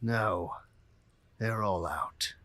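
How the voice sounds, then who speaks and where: A man playing a haughty, bitter, foul-mouthed male vampire in a fantasy audio drama, with a raspy, gravelly voice. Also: fairly low pitch, extremely slow, fairly bright tone, no echo. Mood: drained, grudging.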